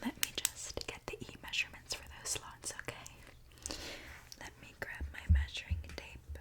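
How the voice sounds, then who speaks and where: A woman whispering softly in an ASMR roleplay as a friendly, caring mechanic, with a gentle, soothing manner. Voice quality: breathy soft whisper. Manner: gentle, unhurried, soothing reassurance. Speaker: ASMR performer playing a robot mechanic.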